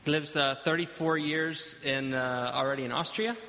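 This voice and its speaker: A man interprets a sermon line by line in a bright, sharp-toned voice. He hesitates often and fills pauses while he hunts for the right word. The sound carries the long reverb of a church hall. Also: fairly high pitch, steady tone, slight background noise. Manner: hesitant, halting, many fillers.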